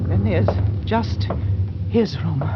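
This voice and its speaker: A woman's voice: mid-range voice, lively intonation, takes it slowly, very noisy. A woman playing a frantic middle-aged wife with breathless, urgent delivery in a 1940s radio drama. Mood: anxious searching. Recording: muffled old radio recording, music behind.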